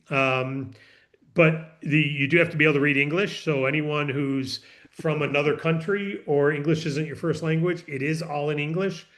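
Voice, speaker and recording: A man's voice: fairly high pitch, fairly bright tone. A man hosting a business training call, explaining the steps patiently and repeating key points in a casual, reassuring way.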